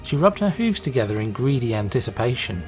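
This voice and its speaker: Male narrator for dramatic fiction reading, mid-low everyday pitch range, soft music bed underneath. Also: very animated, brisk. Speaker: fan fiction reader, narrator. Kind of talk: dramatic scripted story narration.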